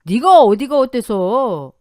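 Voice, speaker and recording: A woman voicing a blunt, gruff older male restaurant owner who scolds loudly but kindly, in a dry recording. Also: fairly high pitch, sing-song, slow pace, no echo, voice pushed up. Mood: scolding.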